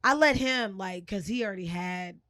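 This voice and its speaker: A woman's voice: mid-range voice, moderate pace, fairly bright tone, no echo, studio quality. A woman, bluntly telling a frank personal story in casual podcast chat.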